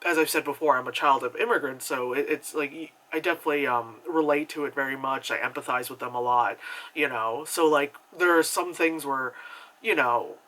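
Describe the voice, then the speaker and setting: A young man giving a casual, rambling movie review, full of fillers and animated asides. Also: brisk, poor audio. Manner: chatty and animated, many fillers, jumps into high acted reactions.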